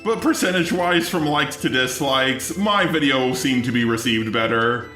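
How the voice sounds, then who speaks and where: A man's voice: mid-range voice, normal speed, pushed and bright. A man delivering argumentative scripted commentary in a sarcastic, indignant manner. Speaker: commentary video host. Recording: roomy echo with music underneath. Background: music.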